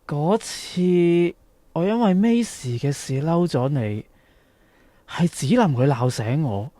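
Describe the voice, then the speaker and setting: A man's voice: fairly high pitch, expressive, slow, dark tone, dry recording. An actor playing a hesitant, regretful young man in an audio drama, with a Hong Kong Cantonese accent. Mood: hesitant, sombre. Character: hesitant, regretful young male friend confessing.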